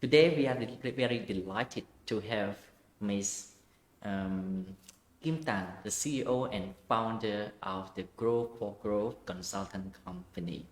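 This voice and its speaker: An interview host, giving formal, slightly halting introductions. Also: low voice. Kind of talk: hosting a business interview. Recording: roomy echo.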